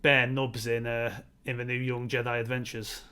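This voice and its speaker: A man's voice: mid-range voice, flat delivery, normal speed, pushed and bright, smooth. A man co-hosting a casual film discussion, talking in a rambling, enthusiastic fan's way. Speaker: co-host of a film discussion show.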